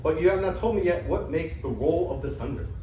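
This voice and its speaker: Guy playing earthy bumbling debtor in greek comedy, highish voice, exclaims a lot, roomy echo. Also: lively intonation, brisk, dark tone, lightly acted. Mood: curious, baffled. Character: bumbling, earthy, eager debt-ridden man seeking schooling. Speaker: amateur actor in a staged play reading. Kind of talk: dramatic reading of ancient Greek comedy. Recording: echoey room, distant mic, background noise.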